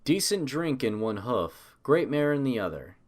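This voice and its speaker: A man's voice: medium pitch, sing-song, normal speed. A man reading scripted fiction as the narrator of an audio story.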